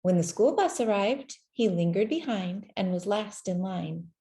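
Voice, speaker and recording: A woman narrates a children's picture book aloud. Her voice is mellow and relaxed, sitting at an average pitch. She takes her time, measuring out each phrase gently and leaving deliberate pauses. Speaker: storybook reader. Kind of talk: scripted children's story narration, read aloud.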